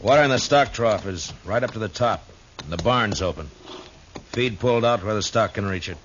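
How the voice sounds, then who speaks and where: An American actor playing a steady, stern Old West marshal in a 1950s radio western, speaking tersely and with authority. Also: male voice, fairly low pitch, brisk, lightly acted. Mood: grimly thoughtful. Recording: noisy, muffled 1950s radio recording.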